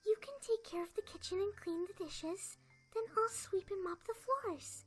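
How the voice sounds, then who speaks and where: A woman voices a gentle young pony princess for a cartoon. The voice is extremely high, soft and hopeful, with polite, kindly phrasing. Music plays quietly behind it. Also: moderate pace. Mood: cheerful. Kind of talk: animated fan audio drama acting.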